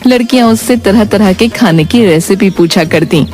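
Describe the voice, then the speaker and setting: Female storyteller, middle pitch. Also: moderate pace, darkish tone, faint music behind. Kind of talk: scripted moral story narration.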